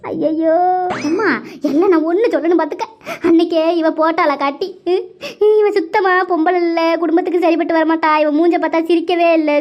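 A woman playing an indignant married daughter lamenting over her wronged mother in a village family drama. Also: very high-pitched. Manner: scolding, lamenting, exclaiming. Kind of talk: melodramatic Tamil village family skit. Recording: dull, muffled lo-fi audio.

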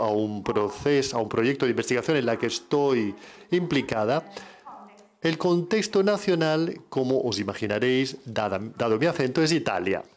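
Male interpreter voicing a lecture. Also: medium pitch. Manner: measured, with frequent self-corrections.

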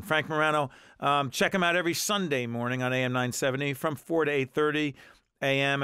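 A man hosting a radio talk show in a casual, energetic, jokey way, congested from a cold. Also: fairly high pitch, clear, resonant tone, no room sound, studio quality. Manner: energetic, jokey, talks over co-host. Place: radio studio. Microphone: studio microphone.

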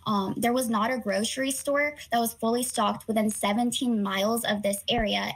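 Earnest young woman with high voice. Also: a bit bright, poor audio. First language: Korean. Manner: earnest, with frequent um fillers. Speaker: student organization president, guest. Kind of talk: news interview answers.

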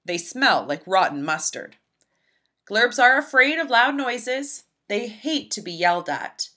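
A woman reading a children's picture book aloud in an expressive, emphatic storybook style. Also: a little high, unhurried. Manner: animated, emphatic, storybook delivery.

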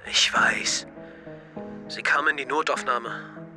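A man's voice: mid-range voice, fairly even intonation, slow, music in the background, natural voice. An actor playing a blunt, defensive teenage skater boy in an audio drama, speaking matter-of-factly. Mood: subdued.

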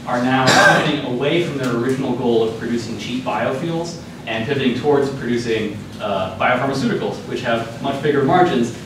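A very reverberant lecture-hall recording of a young man, picked up from a distance with clear noise behind him. He presents informally, in a halting manner, with frequent filled pauses and hedges. His pitch is average for a man. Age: young adult. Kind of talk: informal academic news presentation. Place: lecture hall, speaking at the front. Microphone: far camera microphone.

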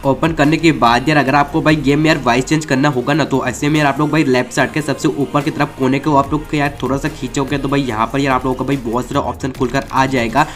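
A man's voice: mid-range voice, steady tone, fast talker, few pauses. A man narrating a casual step-by-step gaming tutorial in a chatty way. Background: background music.

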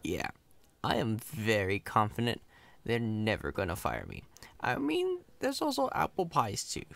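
A man's voice: lowish voice, huge pitch swings, measured pace, creaky, a bit bright, dry recording, full character voice. A man playing a chatty, cocky, friendly company employee in an ASMR roleplay, casual, reassuring and playfully boastful. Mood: easygoing, friendly.

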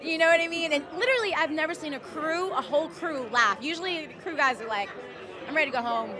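Bubbly high-voiced woman on a red carpet. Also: quick pace. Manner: bubbly, gushing. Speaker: actress. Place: outdoor red carpet premiere, crowded. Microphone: handheld interview mic. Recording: crowd chatter behind.

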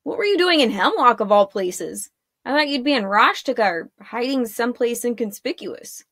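A woman's voice: a little high, expressive, normal speed, fairly bright tone, no echo, clean studio sound. A woman voicing a cheeky orphan boy in a storybook, earnest and defensive with a teasing bravado. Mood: questioning.

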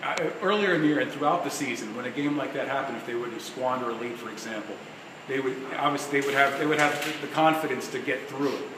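A male reporter puts questions to a coach, phrasing them loosely and rambling a little before getting to the point. His voice sits in the middle range. The room sounds echoey, with a clear air-conditioning hum behind him. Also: mid-range voice, fast. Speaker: sports reporter. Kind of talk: press conference questions.

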